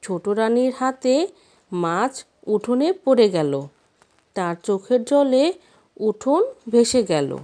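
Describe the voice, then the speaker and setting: Slow, lilting woman telling a fairy tale. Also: a little high, sing-song, mellow. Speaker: storyteller. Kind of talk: scripted fairy tale narration.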